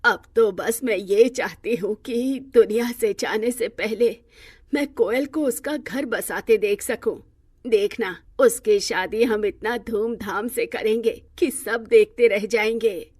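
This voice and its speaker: A woman's voice: a little high, slow, darkish tone, breathy edge, no echo, clean studio sound. A woman playing an affectionate, eager old grandmother in a cartoon moral story, speaking in an emphatic, coaxing way.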